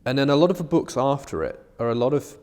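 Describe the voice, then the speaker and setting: A man's voice: mid-range voice, animated, fast, a little mellow. A man in a casual, informal discussion, thinking ideas through reflectively as a podcast co-host. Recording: long reverberant room sound.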